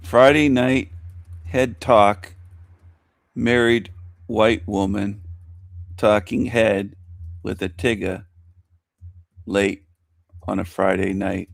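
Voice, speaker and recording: A man's voice: lowish voice, creaky, dry recording. A man on a casual livestream, teasing the host in a mock rant.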